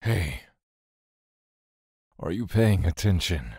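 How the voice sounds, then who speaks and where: An actor playing a brooding, bitter male god in an audio drama, grieving and vengeful, speaking slowly with cold menace. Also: deep voice, very animated, vocal fry, darkish tone, breathy edge, no room sound, studio quality, full character voice. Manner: slow, deliberate, cold menace.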